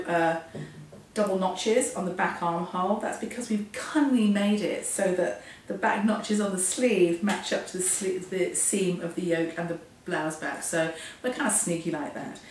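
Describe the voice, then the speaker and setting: A hoarse woman walks through a sewing lesson step by step, chatty and self-deprecating. She stands well back from the mic in a very echoey workroom. A faint hiss sits under her voice. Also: fairly low pitch, unhurried, recorded from a distance. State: hoarse, losing her voice from a cold. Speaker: sewing instructor. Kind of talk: hands-on sewing tutorial.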